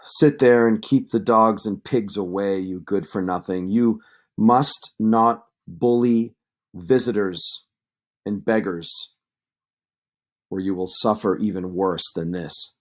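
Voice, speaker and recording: Guy reading crafty old beggar in an epic, slow and lowish, stern, measured. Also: fairly even intonation. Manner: measured, deliberate. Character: Odysseus, old beggar in disguise, cunning and stern. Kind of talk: storybook read-aloud of epic poetry.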